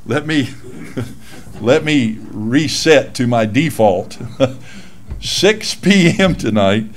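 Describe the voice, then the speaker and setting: A man's voice: medium pitch, animated, drawn-out words. A man in his sixties, a pastor giving casual, folksy church announcements from a lectern microphone in a small church room. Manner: folksy, self-deprecating, with frequent fillers.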